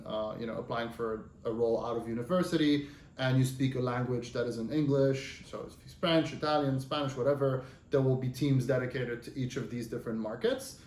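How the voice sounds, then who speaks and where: A man's voice: medium pitch, darkish tone. A man in his thirties, from Lebanon with Arabic as his first language, telling his career story as an interview guest in a loose, conversational way with many fillers and rephrasings. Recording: roomy echo.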